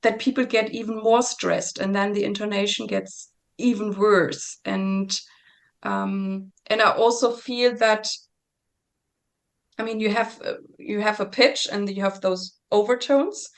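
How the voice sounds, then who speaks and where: A woman singer speaks in a reflective interview at a middle pitch. Her voice has a touch of fry, and she talks haltingly, restarting often as she searches for words. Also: fairly even intonation. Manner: thoughtful, halting, with many restarts and fillers.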